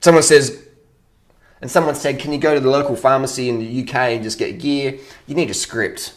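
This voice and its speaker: A man speaks off the cuff at a middling pitch, like an online commentator answering his viewers. He is blunt and opinionated, swears freely, and hammers points home by repeating them. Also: fairly even intonation, a little fast. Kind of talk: casual unscripted rant.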